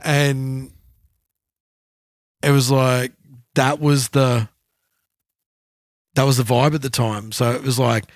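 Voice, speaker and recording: A man speaks at a middling pitch in a dry recording with little room sound. He is co-hosting a podcast, talking in a casual, conversational way and drawing on his own anecdotes. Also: level tone, takes it slowly, pauses often, no echo. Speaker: podcast co-host. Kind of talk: casual chat, personal anecdotes.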